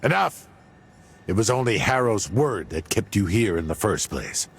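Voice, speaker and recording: An actor playing a proud, embittered older warlord in a video game, gravelly, imperious and scornful. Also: male voice, on the low side, very animated, heavily acted. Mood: furious, commanding. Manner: imperious, scornful, deliberate emphasis. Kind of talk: dramatic video game acting.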